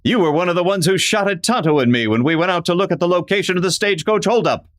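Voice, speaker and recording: A man's voice: high-pitched, fast, a bit bright. A man playing an upright, commanding masked western hero, stern and formal, in an old-time radio drama. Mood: stern accusing.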